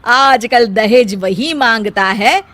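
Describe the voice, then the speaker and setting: A woman's voice: fairly high pitch, slow, fairly bright tone, no echo. A woman voicing a proud, stubborn middle-aged Indian mother-in-law in a moral story, with a taunting, emphatic way of talking. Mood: smug, taunting. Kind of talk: acted dialogue in a Hindi moral story.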